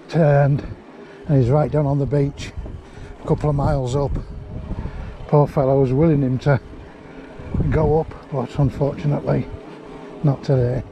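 A man's voice: a little high, muffled recording. A middle-aged man chatting casually as he vlogs a ride along the cliff tops, recorded on an action camera outdoors. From England. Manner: chatty, rambling, chuckles often. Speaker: vlogger. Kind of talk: casual vlog narration while riding. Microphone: action camera on handlebars and held near face. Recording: wind and outdoor noise.

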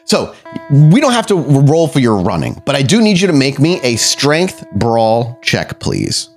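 A man's voice: mid-range voice, wildly swooping pitch, a little slow, faint music behind. A man narrating a tabletop role-playing game as game master, descriptive and dramatic with casual asides. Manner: descriptive, dramatic storytelling mixed with casual rules talk.

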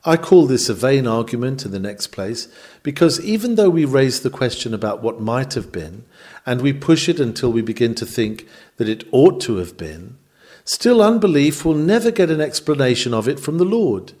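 A man reading a sermon aloud with emphatic, measured, oratorical phrasing in a rhetorical preaching style. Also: mid-range voice, sing-song, a little mellow. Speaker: sermon reader.

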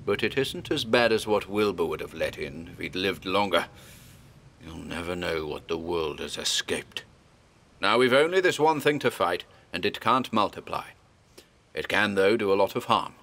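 A man's voice: low-pitched, expressive, normal speed, fairly bright tone, full character voice. A man doing a commanding old scholar. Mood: urgent.

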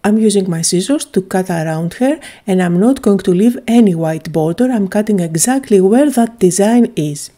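A woman's voice: mid-range voice, lively intonation, normal speed, hardly any pauses, warm tone. A woman from Greece, chattily and enthusiastically narrating a craft tutorial, with frequent little "uh" fillers.

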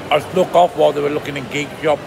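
A man in his fifties eating and reviewing food court dishes into a phone at arm's length, chatty and relaxed, pausing to murmur while he tastes. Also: fairly high pitch, vocal fry, noisy background. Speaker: food vlogger. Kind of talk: casual food review vlog. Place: indoor shopping mall food court under a glass roof. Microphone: phone held at arm's length, facing up. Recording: loud mall ambience behind the voice.

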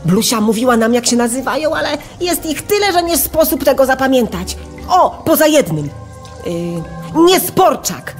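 A woman's voice: sits high, big pitch swings, normal speed, faint music behind. A woman acting an eager, curious young boy explorer in a children's audio drama, full of wonder. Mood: excited. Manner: wondering, enthusiastic.

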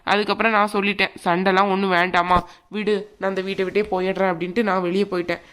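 Woman playing a gentle grandma in a family drama, medium pitch, chatty and soothing, keeps the peace. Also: flat delivery, fast talker, clean tone, lightly acted. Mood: confiding, placating. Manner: conversational, soothing, colloquial. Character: gentle, peacemaking grandmother, mother of a grown daughter.

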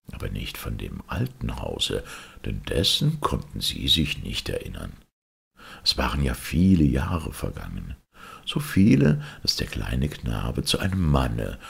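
A man narrating a fairy tale expressively, with a gravelly, husky voice. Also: very deep voice. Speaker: audiobook narrator. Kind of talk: expressive scripted storytelling.

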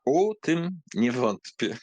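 An actor playing a carefree, mocking young count in a dramatised audiobook, with an ironic, theatrical way of talking. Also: male voice, fairly high pitch, big pitch swings, takes it slowly, darkish tone, no room sound, exaggerated voice. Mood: teasing, ironic.

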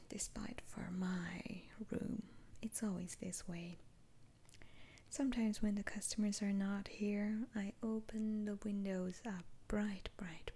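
Woman playing a whispering, unhurried witch doctor. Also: mid-range voice, creaky, slightly rough, full character voice. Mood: relaxed, gently teasing. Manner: unhurried, soothing, hushed. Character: kindly, slightly teasing female witch doctor healer. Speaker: ASMR role-player. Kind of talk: whispered ASMR role-play.

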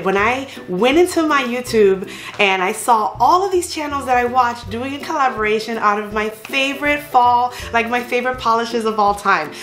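A woman's voice: on the high side, a bit bright. Bubbly vlogger woman in her 30s, chatty, echoey home room, faint music behind. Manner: bubbly, gushing, many fillers. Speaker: nail polish YouTuber. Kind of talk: casual vlog chat. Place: bedroom at home.

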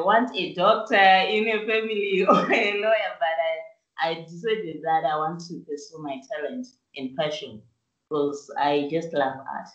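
A young woman from Zimbabwe, a musician and actress, answering interview questions over a video call in an unhurried, soft-spoken way. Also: low voice. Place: small room indoors. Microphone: video call webcam. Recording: distant, roomy, dull video-call audio.

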